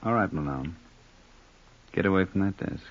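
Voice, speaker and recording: An actor playing a smug, menacing American hired gun in an old radio crime drama, cool and taunting. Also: male voice, low-pitched, lively intonation, moderate pace. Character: smug, self-assured menacing hired gunman. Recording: dull lo-fi old radio recording.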